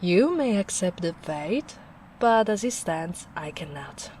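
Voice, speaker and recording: A woman doing a gentle, slow-spoken big ogress. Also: lowish voice, lively intonation, slight background noise. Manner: soft-spoken, slow and soothing, with quiet breathy asides. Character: gentle towering female ogre, caring and protective. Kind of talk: soft-spoken ASMR roleplay.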